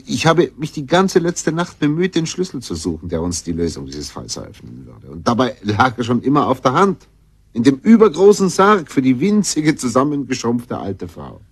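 An actor playing a self-assured, analytical detective who gives brisk orders and measured explanations in a German radio drama. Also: male voice, mid-range voice, breathy edge, dry recording, studio quality.

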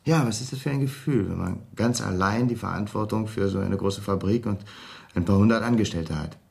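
An actor in a German radio drama playing a debt-ridden gambler, a fired employee with a rueful, coaxing, conversational manner. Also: male voice, fairly low pitch, fairly quick, creaky, a little mellow. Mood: curious.

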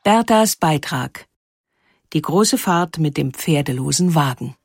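A woman narrating a scripted historical story in a measured, articulate way. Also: low voice, animated, husky. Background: music under the voice.

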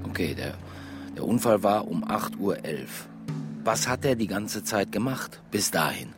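An actor playing a weary, laconic police detective in a naturalistic radio crime drama, speaking in a terse, understated way. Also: male voice, on the low side, expressive, unhurried. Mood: businesslike. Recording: music behind the voice.

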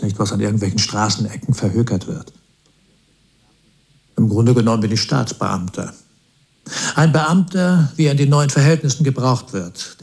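An actor playing a smug, ruthless rock-business boss in a radio play, boastful, self-assured and cynical. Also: male voice, medium pitch, darkish tone, close to an everyday voice.

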